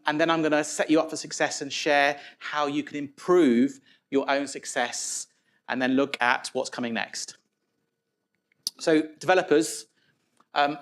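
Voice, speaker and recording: A man speaks from the stage at a tech conference. His voice sits a little high for a man, and he keeps things informal, pausing on "um" and restarting his sentences as he goes. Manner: conversational, informal, with frequent fillers and restarts.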